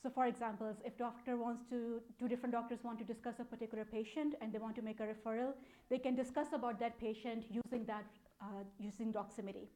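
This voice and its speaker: Woman, Pakistani accent, fairly high voice, soft-edged mellow tone, conversational presenter. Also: level tone, fast. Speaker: speaker, data analytics program manager. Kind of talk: conference talk, informal presentation.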